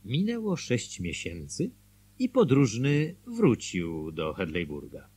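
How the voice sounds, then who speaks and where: Former mayor narrator, radio drama, husky, lively intonation, measured storytelling. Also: male voice, medium pitch, faint music behind, exaggerated voice. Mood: wry, expressive. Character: former small-town mayor recounting the town's history.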